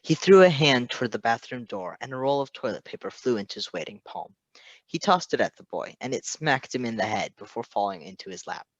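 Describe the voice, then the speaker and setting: Male story narrator, mid pitch, expressive reading, dry close recording. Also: fairly quick, dry recording, highs cut off. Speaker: podfic reader.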